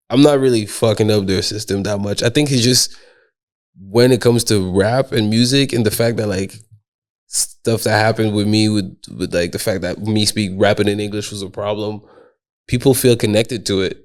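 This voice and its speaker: A man's voice: on the low side, fairly even intonation, normal speed. A man, a guest rapper from Quebec, talking in a loose, slangy way with frequent swearing and laughter. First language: Haitian Creole. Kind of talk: casual podcast conversation.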